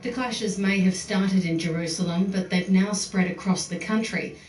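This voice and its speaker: A woman news reporter narrating a scripted report in a steady, clipped tone. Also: medium pitch, moderate pace. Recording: distant replayed broadcast, roomy, faint noise.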